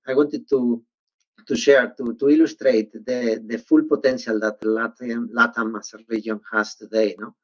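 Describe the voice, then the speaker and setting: A man from Spain with a Spanish accent, speaking conversationally as a panelist and haltingly searching for words. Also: a bit bright. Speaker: panelist, banking technology executive. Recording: muffled low-fidelity online call audio.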